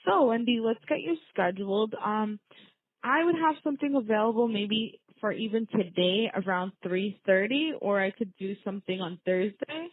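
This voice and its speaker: A woman's voice: mid-range voice, lively intonation, normal speed. A woman working as a receptionist, speaking in a welcoming, positive customer service manner over the phone. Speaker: orthodontic office receptionist. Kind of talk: customer service phone call, semi-scripted. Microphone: telephone. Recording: narrow, tinny phone line, dry.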